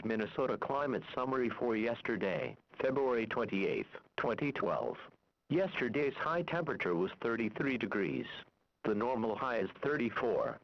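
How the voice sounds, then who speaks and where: A male weather radio voice reading forecasts and climate figures in an even, measured way. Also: medium pitch. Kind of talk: automated weather broadcast. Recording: lo-fi radio recording.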